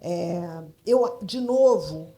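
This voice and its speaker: A Brazilian woman speaking off the cuff on a panel, halting and emphatic, repeating phrases as she thinks aloud. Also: lowish voice, lively intonation, dark tone. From Brazil, grew up in Brasília. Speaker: panel speaker, creative consultant in advertising. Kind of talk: spontaneous panel discussion.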